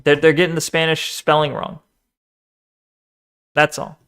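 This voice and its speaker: Brief: animated male streamer, a bit high-pitched, bantering with chat. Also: a little slow, clean tone. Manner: animated, swears, talks back to the screen. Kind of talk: casual live-stream chat and video reaction.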